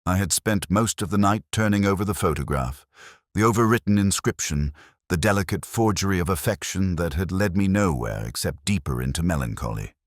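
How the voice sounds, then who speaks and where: A man voicing a melancholy, brooding English police detective, narrating a scripted mystery in a measured, solemn, literary manner. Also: deep voice, lively intonation, dry recording, clean studio sound. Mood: melancholy, reflective. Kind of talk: scripted first-person detective story narration.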